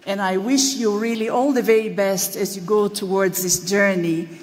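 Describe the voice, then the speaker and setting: A woman from Tanzania, giving a formal, measured acceptance speech at an awards ceremony. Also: mid-range voice, fairly even intonation. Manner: measured and deliberate, slowing to stress key phrases. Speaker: award recipient. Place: large hall at an awards ceremony. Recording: long reverberant hall.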